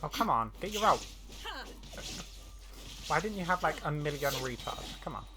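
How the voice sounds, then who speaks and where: Woman streaming a game, really low voice but bouncy, casual commentary, mutters and repeats herself. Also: lively intonation, moderate pace. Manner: muttering reactions, repeats phrases. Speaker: gaming streamer.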